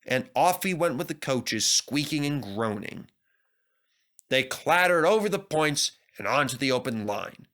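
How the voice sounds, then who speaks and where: A man's voice: mid-range voice, lively intonation, unhurried, a bit bright. A man narrating a scripted children's story expressively as a storybook reader.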